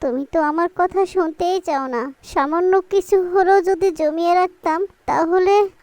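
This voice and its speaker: A woman voicing a worried, caring young mother and wife in a cartoon, coaxing and gently chiding. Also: very high-pitched, unhurried, no room sound.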